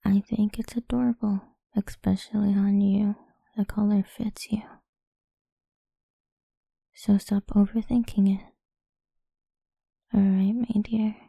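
Slow breathy whisper from a woman. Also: medium pitch, flat delivery, no room sound. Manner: slow soft whisper, doting and teasing. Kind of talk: whispered ASMR roleplay.

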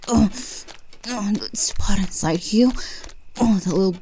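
A woman performing a roleplay monologue, speaking mostly in a soft, breathy whisper with a teasing, doting manner. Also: mid-range voice, a little slow.

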